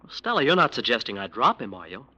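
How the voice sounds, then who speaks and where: A defensive lawyer. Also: male voice, mid-range voice, sing-song, fast talker, bright, forceful tone, full character voice. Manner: defensive, smooth-talking. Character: defensive, self-justifying male lawyer. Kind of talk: 1940s radio drama acting.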